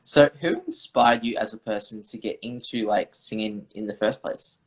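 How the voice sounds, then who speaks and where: A man's voice: fairly low pitch, moderate pace, rough recording. A man hosting an interview, reading out his questions with a set phrase. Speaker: host.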